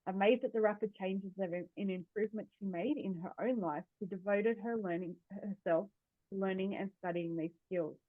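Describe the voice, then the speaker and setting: A woman's voice: mid-range voice, moderate pace, no room sound. A woman host reading a formal introduction of a guest speaker for a webinar. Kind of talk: scripted introduction. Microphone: video call. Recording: tinny, dry phone-like audio.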